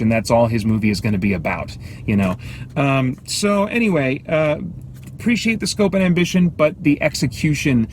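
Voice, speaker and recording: A middle-aged American man giving a casual off-the-cuff review, thinking aloud in a halting, self-interrupting way. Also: medium pitch. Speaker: movie reviewer and host. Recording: clear background noise, ambient sound.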